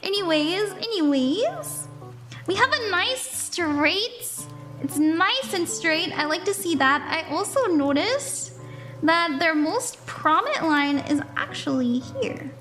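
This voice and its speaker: A young woman from the southern United States, chatting with viewers while giving live palm readings, bubbly and quick to laugh. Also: very high-pitched, big pitch swings, music in the background.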